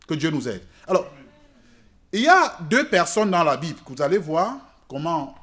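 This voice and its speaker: A man preaching a church sermon in French, which is not his native language, swinging between loud emphatic peaks and quiet asides. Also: on the high side, big pitch swings, moderate pace. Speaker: pastor. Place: church service.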